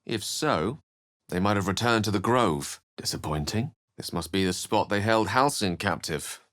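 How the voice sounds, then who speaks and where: A wry, deep-voiced wizard. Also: male voice, lively intonation, moderate pace, a bit bright. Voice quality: dry wit, wry and articulate. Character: witty, curious male wizard adventurer, scholarly. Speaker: companion wizard character. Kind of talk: video game voice lines.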